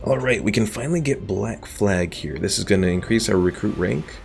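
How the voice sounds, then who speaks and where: A man's voice: fairly low pitch, animated, brisk, creaky. A young man casually commentating a strategy game stream, thinking aloud and explaining the mechanics as he plays. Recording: game music in background.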